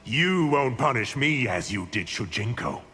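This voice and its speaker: Arrogant evil sorcerer, slow and sweeping. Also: male voice, medium pitch, very animated, sharp tone, husky, exaggerated voice. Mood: mocking. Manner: haughty, deliberate, theatrical speech. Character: arrogant, menacing evil male sorcerer of the netherworld. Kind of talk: dramatic video game villain acting. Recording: long hall reverb, music underneath.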